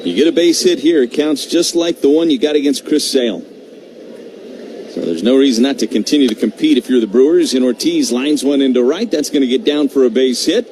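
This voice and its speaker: A male baseball announcer with a medium-pitched voice calls the game live on radio. He delivers it in measured bursts, pausing between calls. Clear background noise runs behind him throughout the broadcast. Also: darkish tone.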